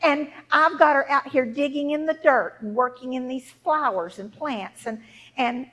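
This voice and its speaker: A woman's voice: sits high, moderate pace, fairly bright tone, rough recording. A woman storyteller voicing a scolding, pushy middle-aged mother in a folk tale, emphatic and repetitive. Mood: flustered, eager.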